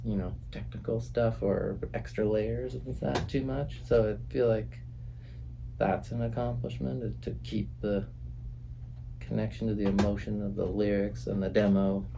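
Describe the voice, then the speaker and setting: A man, a music producer and drummer, talking in a relaxed, halting way in a recording studio about the songs he played on. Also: on the low side, vocal fry, noisy background. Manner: reflective, halting, many fillers. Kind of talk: casual conversation.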